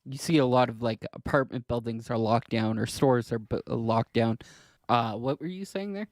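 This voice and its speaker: A man chatting casually as a podcast co-host, halting and rambling, often repeating words as he works toward his point. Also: medium pitch, no room sound.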